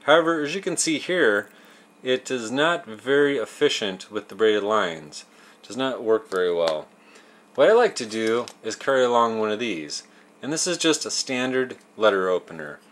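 A man's voice: mid-range voice, expressive, moderate pace, fairly bright tone. A man in his thirties narrating a how-to as show host, explaining step by step in plain, folksy words. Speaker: host of a fishing tips show.

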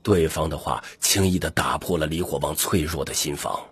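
A man's voice: rumbling low, moderate pace, fairly bright tone, husky, no echo. A man reading dramatic scripted narration as an audiobook narrator.

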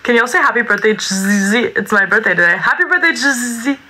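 A woman's voice: fairly high pitch, brisk, pushed and bright. A young woman hosting a casual phone livestream from a small bedroom, reading comments aloud in a bubbly, joking way. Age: young adult. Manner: bubbly, reads chat aloud, jokey sing-song repeats. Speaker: livestream host. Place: small bedroom with string lights. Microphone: phone held at arm's length.

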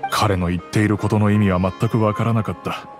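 A man playing a soft-spoken regretful merchant father. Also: lowish voice, a little fast, music in the background, close to an everyday voice. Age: middle-aged. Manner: calm, reflective storytelling. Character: gentle, regretful middle-aged merchant father. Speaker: wealthy father recounting the past. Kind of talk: game cutscene voice acting.

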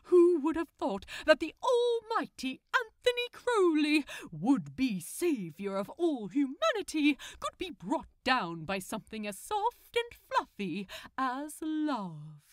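Give the villain of an audio story as a woman reader plays him: a smug, theatrical man with a coaxing, tender streak. The delivery is dramatic and unhurried, with sweeping pitch. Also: sing-song, no room sound, clean studio sound, full character voice. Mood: triumphant gloating. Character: gleefully theatrical, silky-soft male supervillain, tender and smug.

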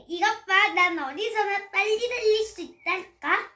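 A woman's voice: extremely high pitch, takes it slowly, bright, forceful tone, faint music behind, voice pushed up, full character voice. An eight-year-old girl voicing a boastful, boisterous little girl in a children's storybook tale, exclaiming in a showy way. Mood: excited boasting. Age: child, 8 years old. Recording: roomy echo.

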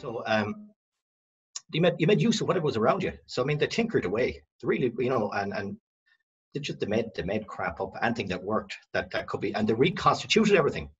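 A man's voice: mid-range voice, very animated, fast, muffled recording, faint music behind. A middle-aged Irish man from the west of Ireland, a company director telling his family history in a rambling, self-correcting way on a video call from home. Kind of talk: casual video-call interview. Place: home room.

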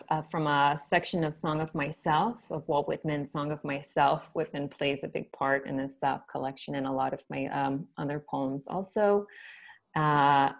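A woman poet reading her own poems on a video call in a soft, measured way, with short spoken asides between them. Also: sits low.

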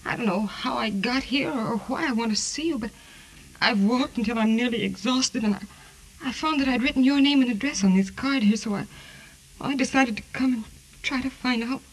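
A woman's voice: fairly high pitch, a little fast, breathy edge, poor audio. A woman playing a frightened, exhausted amnesiac in 1940s radio drama style, hesitant, anxious and pleading. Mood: confused. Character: frightened, exhausted woman who has lost her memory. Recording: vintage 1940s radio recording.

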